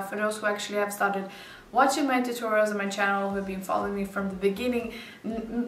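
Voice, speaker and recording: A woman's voice: medium pitch, sharp tone, a little hiss or hum. A young woman from Serbia, speaking English as a second language, chatting casually to the camera in a living room with many likes and little laughs. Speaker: beauty vlogger. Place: bright living room with sofa and plants. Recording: roomy echo, slightly distant mic.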